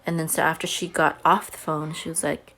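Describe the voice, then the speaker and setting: A woman's voice: low-pitched, little pitch movement, fairly quick, a bit bright, slight background noise. A homeschooling mother telling a personal story to camera in a chatty, earnest way. She says she has a big voice.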